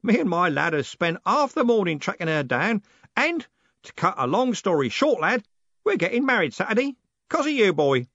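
A man doing a booming old merchant. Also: very animated, normal speed, a bit bright, no room sound, muffled recording, exaggerated voice. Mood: booming hearty. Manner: bellowing, booming. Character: loud blunt old male merchant, hearty.

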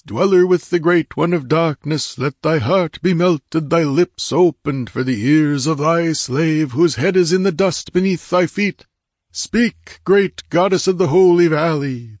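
A man voicing a fanatical high priest from a fantasy audiobook, solemn, resonant and declamatory as he invokes his goddess. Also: darkish tone, dry recording, studio quality. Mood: solemn pleading.

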